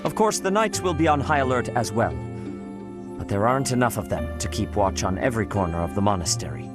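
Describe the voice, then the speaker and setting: A man's voice: on the low side, expressive, brisk, over music, lightly acted. A man playing a strict, dutiful church official in a video game, speaking in a formal, precise, stern manner. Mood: grave, dutiful.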